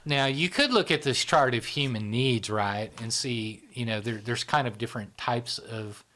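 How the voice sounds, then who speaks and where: A man's voice: mid-range voice, sharp tone. A man hosting a podcast, talking casually and working through ideas aloud, often checking in with "you know" and "right?". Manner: conversational, drawn-out, frequent "you know" and "right?". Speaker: podcast host. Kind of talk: casual discussion.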